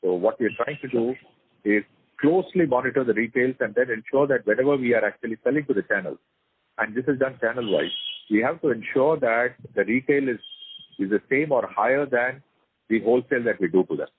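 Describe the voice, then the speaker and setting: A man's voice: mid-range voice, fairly quick, husky, no room sound. An Indian man speaking as a company executive, giving informal, unscripted business answers on a call. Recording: dry, narrow conference phone line.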